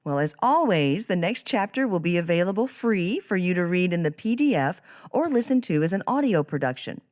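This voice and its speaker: A woman's voice: lowish voice, very animated, brisk, darkish tone, dry recording, clean studio sound. A woman hosting a podcast interview, talking conversationally about a book and faith. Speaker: podcast host.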